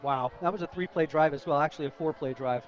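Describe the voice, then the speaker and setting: American man, very quick talker, slightly high voice, conversational football color commentary, muffled lo-fi radio sound. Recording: dull lo-fi outdoor radio broadcast.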